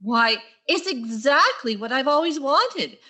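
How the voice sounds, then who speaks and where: A wry schoolteacher played by a woman in an old-time radio sitcom. Her voice is high and bright, very lively in its rise and fall, and she tosses off dry, sarcastic remarks with quick wit. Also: exaggerated voice. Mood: sweetly sarcastic. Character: wry, quick-witted female high school English teacher. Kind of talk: 1940s radio sitcom acting.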